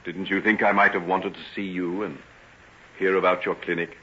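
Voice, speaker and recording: An actor playing a troubled but kindly doctor in an old-time radio drama, speaking in a soothing, halting way. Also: male voice, sits low, little pitch movement, pushed and bright. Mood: friendly, wistful. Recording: dull vintage radio recording with noise.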